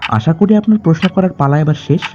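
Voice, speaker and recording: An actor playing a guarded middle-aged doctor in an audio drama, speaking in a clipped, formal way. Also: male voice, fairly high pitch, fast talker, mellow, lightly acted. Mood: defensive. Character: curt, guarded doctor in his fifties, grieving a friend. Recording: slightly dull voice track under music. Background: background music.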